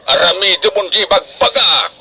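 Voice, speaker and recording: A man's voice: high-pitched, animated, normal speed, pushed and bright, heavily acted. A man playing a stern but doting middle-aged farmer father in a radio drama, with an Ilocano Filipino accent and emphatic delivery. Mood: fond, bossy.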